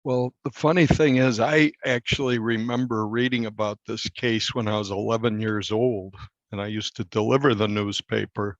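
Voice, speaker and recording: An American man in his sixties, a newspaper reporter, speaking conversationally and earnestly in an interview, in measured phrases. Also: lowish voice, fairly even intonation, no echo, dull audio. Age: 60s.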